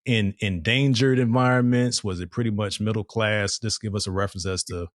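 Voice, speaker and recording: Male podcast host, low-pitched, dry booth sound, encouraging interviewer. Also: fairly even intonation, brisk, no room sound. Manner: affirming interviewer, frequent "okay", restarts questions. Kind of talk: casual interview.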